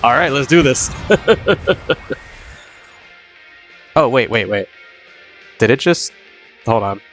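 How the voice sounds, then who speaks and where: A man's voice: medium pitch, expressive, takes it slowly, a bit bright, soft background music. A young man with a sniffly, congested voice from a cold, chatting casually as a live-stream host in a rambling way full of fillers and restarts.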